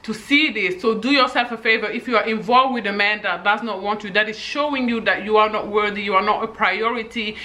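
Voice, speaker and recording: A woman in her thirties with a husky voice and a delivery, giving emphatic dating advice straight to camera and ending her points with "okay?". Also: mid-range voice, fairly quick, few pauses, sharp tone, rough recording. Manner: emphatic, repeats "okay?" to drive points home. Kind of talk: direct-to-camera advice monologue.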